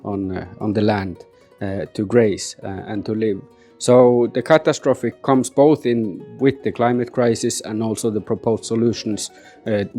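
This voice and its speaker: A man's voice: on the low side, warm tone. A Sami man from Sapmi, a delegate giving an unscripted interview answer, hesitant with many fillers and repeated words. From Sapmi, northern Scandinavia. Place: summit venue in Geneva.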